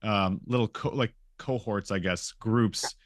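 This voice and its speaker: Informal man with a lowish voice. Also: unhurried, dry recording, clean studio sound. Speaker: game studio team member on a podcast. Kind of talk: casual podcast chat.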